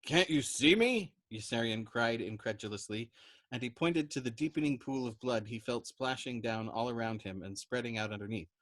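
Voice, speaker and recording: A man's voice: mid-range voice, steady tone, fairly quick, no room sound. Man narrating a novel, dry close sound. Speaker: storytime reader. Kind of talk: expressive audiobook narration of a novel.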